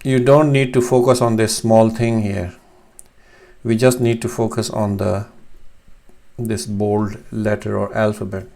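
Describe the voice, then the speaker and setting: Man in his thirties, Urdu native speaker from Pakistan, gravelly voice, hesitant teacher at a webcam. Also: on the low side, level tone, unhurried, dark tone. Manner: hesitant, many fillers and restarts. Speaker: Arabic teacher. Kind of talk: screen-share lesson. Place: small room at home, indoors.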